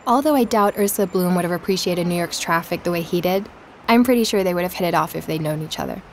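An actress playing a curious woman investigator who hosts a podcast in an audio drama, speaking in a measured, explanatory way. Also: mid-range voice, a little hiss or hum, close to an everyday voice. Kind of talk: scripted documentary-style podcast narration.

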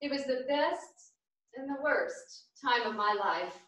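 An actress as an earnest older woman, sincere and hopeful. Her voice is high and carries with heavy echo from a stage, heard at some distance. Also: expressive, slow, fairly bright tone, full character voice. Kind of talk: theatrical staged reading. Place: small theatre stage, far camera. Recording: echoey theatre, distant microphone.

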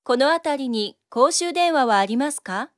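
A woman reading short phrase prompts for a language course in a neat, brisk way. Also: high-pitched, animated, dry recording, clean studio sound. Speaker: Japanese prompt narrator.

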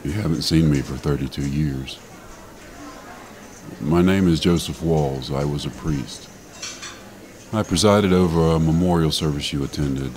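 An older actor playing a grave, formal elderly former priest in an audio drama. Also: male voice, rumbling low, measured pace, long pauses, a little mellow. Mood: grave, purposeful. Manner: formal, solemn.

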